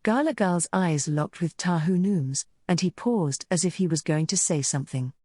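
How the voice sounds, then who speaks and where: Female storyteller for visual novel narration, soft mellow tone, close dry studio sound. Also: on the low side, moderate pace, dry recording. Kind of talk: scripted dramatic storytelling narration.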